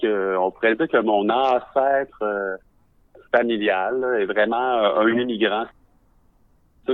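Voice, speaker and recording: A man with a Québécois accent and a fairly low voice speaks in a reflective, measured way, pausing often to find his words. He is a visual artist telling his story for a podcast. The sound is narrow and phone-like, dry and close, with no room around it. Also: dry recording. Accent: Québécois French. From Quebec.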